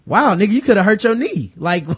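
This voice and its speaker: Higher-voiced American man swearing through casual banter. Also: a little fast, husky. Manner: animated storytelling, acting out mock dialogue. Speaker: movie review podcast host. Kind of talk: casual, profane film discussion.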